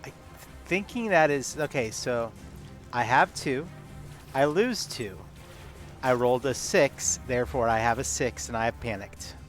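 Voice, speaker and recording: Man, tabletop player, medium pitch, casual game banter, background music. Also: expressive, a little slow. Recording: music behind the voice.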